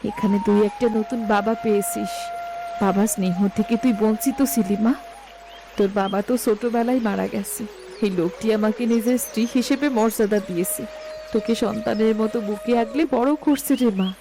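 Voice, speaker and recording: A woman's voice: mid-range voice, a little fast, music in the background, close to an everyday voice. A woman playing a patient middle-aged mother in a melodramatic cartoon story, with a plaintive, pleading and soothing delivery. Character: gentle, long-suffering middle-aged mother and stepmother.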